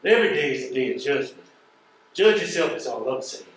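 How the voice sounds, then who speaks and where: An actor with an American accent playing a wry, streetwise, philosophical mail carrier in a stage play, folksy and colloquial. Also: male voice, medium pitch, very animated, fairly quick, natural voice. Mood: admonishing. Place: theatre stage, recorded from afar. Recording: echoey stage, distant mic, faint noise.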